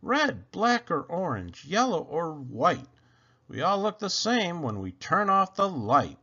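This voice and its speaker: Male storyteller for children's verse: unhurried, very lively pitch swings, theatrical phrasing, slightly dull lo-fi recording. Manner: animated, theatrical, drawn-out phrasing. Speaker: children's poetry reader. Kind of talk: expressive read-aloud of children's verse.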